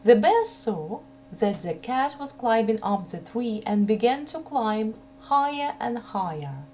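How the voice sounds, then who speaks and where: A woman reading a folk tale for children in a deliberate, phrase-by-phrase way. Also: a little high, very animated, mellow. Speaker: storyteller. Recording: noticeable background hum.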